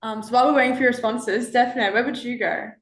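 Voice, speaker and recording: A woman's voice: a little high, steady tone, fast. A fifteen-year-old girl running an icebreaker, hesitant and starting lines with "um". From Australia. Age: teens. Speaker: student presenter. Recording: echoey room.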